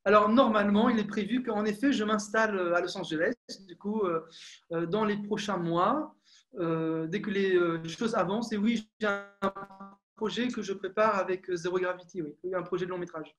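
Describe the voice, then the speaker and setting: Young man, high voice, hesitant. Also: normal speed, a bit bright. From France. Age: young adult. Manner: hesitant, many fillers and restarts. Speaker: film director. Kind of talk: video call interview. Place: small room at home, indoors. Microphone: earphone mic on a video call.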